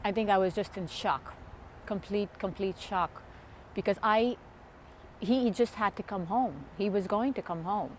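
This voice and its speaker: A woman's voice: mid-range voice, normal speed, slight background noise. A middle-aged woman giving an unscripted interview recollection, hesitant and repeating words. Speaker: interviewee, bereaved mother.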